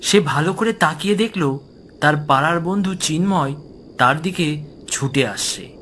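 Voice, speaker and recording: Slow, dramatic male horror narrator. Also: fairly high pitch, animated, fairly bright tone, a little hiss or hum. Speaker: story narrator. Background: music.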